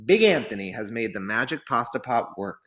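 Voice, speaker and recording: A man's voice: medium pitch, sing-song, brisk, fairly bright tone. A man voicing a boastful, eager, naive young village man in a storybook, declaring things boldly. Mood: triumphant.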